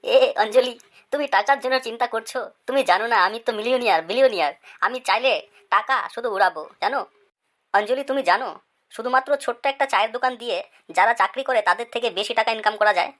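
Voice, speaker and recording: Woman voicing a cheeky high-pitched cartoon schoolboy. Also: quick pace, fairly bright tone, lightly acted. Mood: boastful, romantic.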